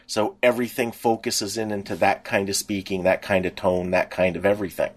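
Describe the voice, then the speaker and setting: A man's voice: lowish voice, level tone, fairly quick. A man co-hosting a podcast, chatting casually and in a rambling way. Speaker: podcast co-host. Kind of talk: casual chat about pop culture.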